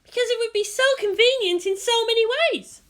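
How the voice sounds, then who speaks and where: A man's voice: very high-pitched, brisk, fairly bright tone, voice pushed up. A man voicing a brash, bossy teenage girl pilot with a haughty, needling manner. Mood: flippant, irritated.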